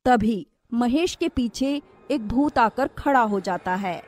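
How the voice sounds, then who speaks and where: A woman's voice: fairly high pitch, lively intonation, slow pace, darkish tone. A woman reading a scripted cartoon story as its narrator.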